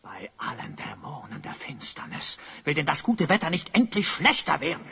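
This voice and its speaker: An actor playing a tyrannical, gloating evil sorcerer in an audio drama, pompous and commanding. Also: male voice, on the high side, big pitch swings, normal speed, gravelly, sharp tone, slightly rough, full character voice. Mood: exasperated. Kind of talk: German audio drama acting. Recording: long cavernous reverb.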